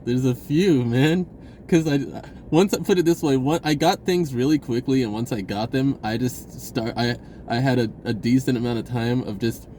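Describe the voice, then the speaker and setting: A man telling a personal story in a casual, rambling vlog style, acting out bits of dialogue in an animated way. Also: medium pitch, a little hiss or hum. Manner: animated, acts out dialogue, chuckles often.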